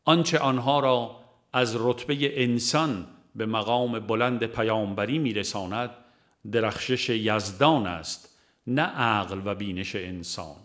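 A man's voice: on the low side, a bit bright. Man reading a book formally. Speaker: audiobook narrator. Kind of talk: scripted narration of a religious book.

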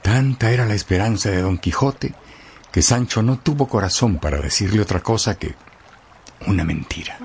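A man narrating a scripted radio drama as a storyteller, in a dramatic and expressive way. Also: on the low side, moderate pace, gravelly, a little hiss or hum.

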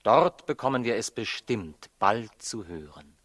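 An actor playing a wry, inquisitive traveling stranger in a 1960s radio play, with a coaxing, questioning manner. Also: male voice, on the low side, sing-song, measured pace, fairly bright tone, no room sound, exaggerated voice. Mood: wry, practical.

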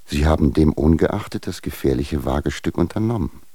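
An actor playing a stern, formal patriarch, delivering measured, reproachful letters in a German radio drama. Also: male voice, extremely low pitch, expressive, warm tone, no room sound, full character voice. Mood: stern admonishing. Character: stern, formal patriarch admonishing a younger husband.

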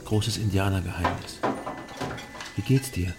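An actor in a radio drama playing a dry, guarded East German investigator, speaking in an understated, matter-of-fact way. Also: male voice, low-pitched, measured pace, creaky. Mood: gentle. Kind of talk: radio drama first-person narration and dialogue. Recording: loud music behind the voice.

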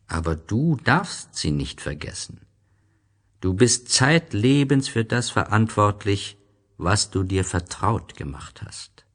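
A man voicing a wise, gentle fox who teaches slowly and solemnly in a storybook reading. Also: on the low side, expressive, heavily acted.

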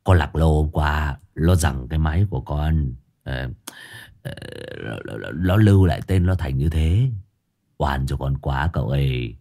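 A man's voice: a bass voice, lively intonation, a little fast, darkish tone, breathy edge, voice pushed down, exaggerated voice. A man voicing a crude, blustering male thug who swears coarsely and whines when he is scared. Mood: whining.

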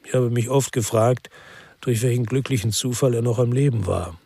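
A man's voice: medium pitch, moderate pace, vocal fry, mellow, slightly rough, no room sound. An actor playing a world-weary ex-policeman who narrates a radio crime drama in a brooding, reflective way.

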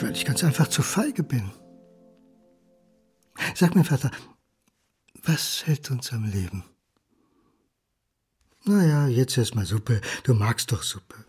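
An actor playing a lonely, bitter, self-mocking drinker, brooding and sardonic, in an intimate radio drama monologue. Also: male voice, medium pitch, a little mellow.

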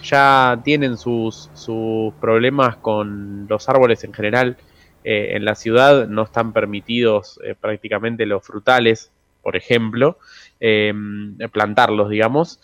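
A man's voice: lowish voice, fairly even intonation, unhurried, a bit bright, no echo, muffled recording. A man with a Rioplatense Argentine accent hosting a radio show in a casual, conversational style. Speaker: radio host.

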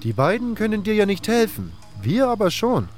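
A man's voice: high voice, huge pitch swings, normal speed, darkish tone, soft background music, pitched above the performer's own voice. A man voicing a laid-back, stoned male companion who teases his friend in a drawl in a fantasy audio drama. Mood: teasing.

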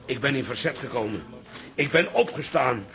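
A man's voice: mid-range voice, lively intonation, normal speed, creaky, fairly bright tone. A man playing a stubborn, indignant old father who sees himself as a resistance hero, in a radio comedy. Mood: pompous, defiant. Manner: pompous, defiant.

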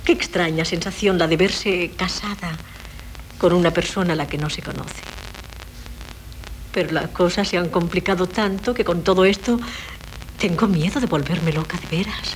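An actress playing a coy young wife who feigns madness in a 1970s radio play, with hesitant, plaintive theatrical delivery. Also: female voice, fairly low pitch, moderate pace, natural voice. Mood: coy, confiding. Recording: noisy 1970s radio recording.

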